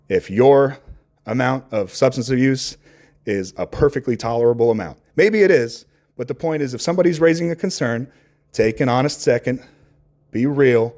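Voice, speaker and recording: A man talking candidly and off the cuff in a reflective, earnest monologue, halting as he searches for words and swearing casually. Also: mid-range voice, darkish tone. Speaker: podcast host.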